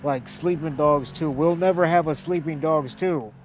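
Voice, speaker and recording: A man's voice: on the high side, moderate pace, lo-fi sound, soft background music. A young man streaming a game, chatting casually, rambling, talking to himself, and swearing often. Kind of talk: casual gaming stream chat.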